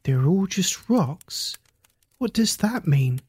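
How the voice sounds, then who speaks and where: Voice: medium pitch, expressive, takes it slowly, darkish tone, breathy edge, exaggerated voice. A narrator voicing a young, timid male otter cub in a bedtime story, speaking hesitantly. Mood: confused.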